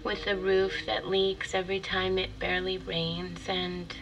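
An actress playing a wistful woman in an intimate audio drama monologue, confessional and halting, with a breathy delivery. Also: on the low side, little pitch movement, a bit bright, dull audio, slight background noise. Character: wistful, intimate divorced woman confiding a fantasy.

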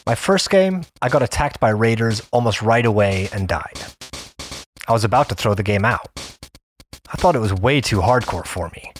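A man's voice: lowish voice, natural voice. A young man playing a seasoned gamer, narrating his adventure in the first person in a conversational audio drama style. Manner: conversational, reflective. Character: wary, seasoned male gamer recounting his virtual adventure. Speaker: narrator and lead character.

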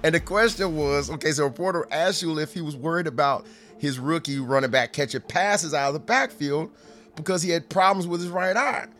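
A man's voice: fairly high pitch, brisk, a bit bright, soft background music. A man hosting a podcast, telling sports stories in a casual, rambling, conversational way with amused asides. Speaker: podcast host.

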